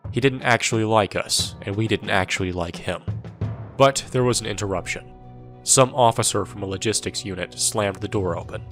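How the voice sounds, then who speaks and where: Guy playing jaded soldier telling his war story, deep voice, wry and sarcastic, music under it. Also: moderate pace, fairly bright tone, natural voice. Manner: sardonic, irreverent. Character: wry, world-weary male soldier recounting his war. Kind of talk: first-person audio drama narration.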